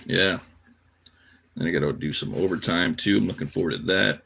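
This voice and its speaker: A man's voice: low voice, moderate pace, vocal fry, pushed and bright, a little hiss or hum. A tired man chatting casually on a livestream while he draws, laid-back and slangy, half muttering to himself. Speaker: cartoonist live streamer.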